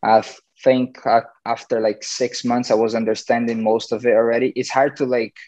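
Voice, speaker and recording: Young Brazilian footballer, northern English tinge; flat, filler-heavy chat over dull webcam audio. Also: male voice, medium pitch, normal speed. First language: Portuguese. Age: 20s. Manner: loose and chatty, many fillers like "like" and "you know". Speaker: footballer, interview guest. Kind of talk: casual interview over video call. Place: small room at home, plain wall. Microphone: laptop webcam video call. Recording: dull lo-fi video call audio.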